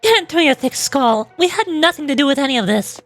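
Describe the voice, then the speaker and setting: A woman's voice: high voice, lively intonation, a little fast, faint music behind. A woman voicing a confident, easygoing female gang boss in a game story, assured and defiant.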